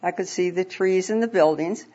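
A woman's voice: lowish voice, moderate pace, warm tone. A woman from the congregation sharing a personal testimony, telling her story earnestly and plainly with some fillers. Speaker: congregation member giving a testimony. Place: church service. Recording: dull lo-fi church recording.

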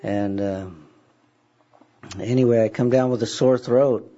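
A man with a raspy, hoarse voice leading a church service, talking casually and haltingly with many fillers. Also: medium pitch, steady tone, mellow. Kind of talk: casual talk from the pulpit. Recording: dull lo-fi church recording.

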